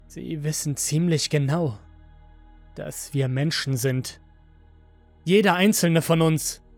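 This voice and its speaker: A rebellious android played by a male narrator in a science-fiction story, speaking with bitter defiance. The voice sits fairly high with some gravel in it, over faint music. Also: slow, no room sound. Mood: contemptuous, hissing.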